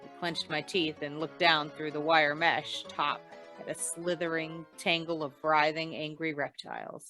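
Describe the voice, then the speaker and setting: A woman's voice: deep voice, little pitch movement, a little slow, over music, low-quality recording. A woman playing a wry, hard-boiled insurance investigator in an old-time radio drama, with dry, tough delivery. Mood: wry, tense narration.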